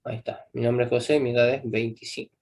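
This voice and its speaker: A man's voice: medium pitch, level tone, quick pace, a little mellow. A young man teaching a live coding class, patiently checking in with students after almost every step. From Argentina. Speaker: programming teacher.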